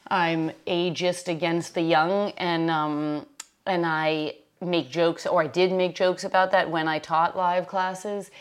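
A woman in her late forties talking casually as a podcast host. Her voice sits a little low, and she rambles, restarts and laughs at her own remarks. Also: steady tone, slow, clean tone. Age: 40s. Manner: chatty, self-deprecating, laughs often, trails off and restarts. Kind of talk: casual chat.

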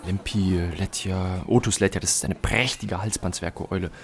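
An actor playing an eccentric, scholarly owl expert in an audio drama, muttering pedantically and hesitantly over details. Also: male voice, low voice, fairly even intonation, moderate pace, echoey room. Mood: absorbed, pedantic. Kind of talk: German audio drama acting.

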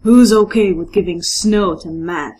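Woman putting on a husky, stern male vampire. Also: on the low side, measured pace, darkish tone, pitched below the performer's own voice. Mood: challenging. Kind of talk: character dialogue read aloud from a story.

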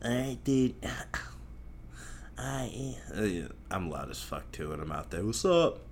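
A man's voice: on the low side, big pitch swings, takes it slowly. A young man hosting a solo podcast, rambling in a crude, profane and self-mocking way that often loses its thread. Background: faint noise from outside.

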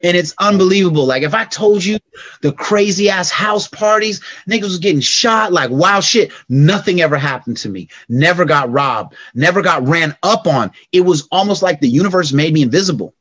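A middle-aged man from California telling stories in a casual interview, slangy and animated, acting out quotes in a raised voice. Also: high-pitched, moderate pace, no room sound. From California, United States. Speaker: podcast guest.

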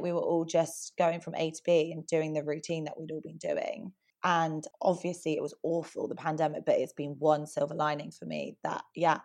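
A young woman with a croaky voice, chatting earnestly as a podcast guest. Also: sits low, a little mellow. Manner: chatty, earnest, fillers like "like" and "sort of". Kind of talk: casual interview chat.

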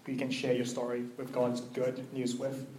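A young man giving a conversational sermon, earnest and personal, with a gently hesitant delivery. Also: mid-range voice, monotone, moderate pace, roomy sound, a little hiss or hum, low-quality recording. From New Zealand and Malaysia. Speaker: preacher.

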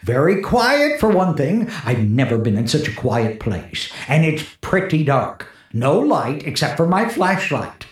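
An actor playing a skeptical, uneasy disc jockey broadcasting from a haunted house in a 1940s radio drama. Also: male voice, a little high, big pitch swings, a little slow, hardly any pauses. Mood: nervous. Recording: roomy, echoing 1940s radio recording.

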